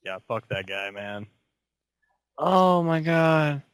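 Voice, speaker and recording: A man's voice: a little high, big pitch swings, slow pace, clean tone, dry recording. A young man co-hosting a casual podcast, goofing around with friends in one room and hesitating with fillers. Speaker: podcast co-host. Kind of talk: casual chat. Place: shared room with co-hosts. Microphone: clip-on mic on shirt.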